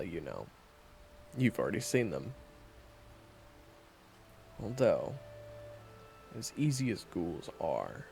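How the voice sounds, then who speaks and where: A man playing a sardonic, guarded monster hunter in a fantasy audio roleplay, with a gravelly voice and a dry, teasing, bluntly dismissive manner. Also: on the low side, darkish tone, voice pushed up, exaggerated voice. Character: sardonic, guarded young male monster hunter, begrudgingly chatty. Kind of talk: fantasy audio roleplay acting, addressed to the listener.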